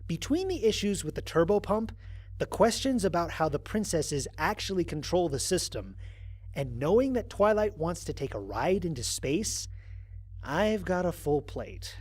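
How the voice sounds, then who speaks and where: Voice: mid-range voice, normal speed, slightly rough. An actor playing a wry, exasperated astronaut stranded on an alien world, recording mission logs in an audio drama with dry sarcasm. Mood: weary, dry. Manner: sardonic, dry, expressive emphasis.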